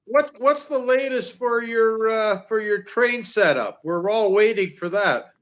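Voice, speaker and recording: A man's voice: high voice, unhurried, a bit bright, muffled recording. A man in his sixties from Canada, chatting casually as livestream co-host, rambling with many fillers and restarts. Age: 60s. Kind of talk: casual chat.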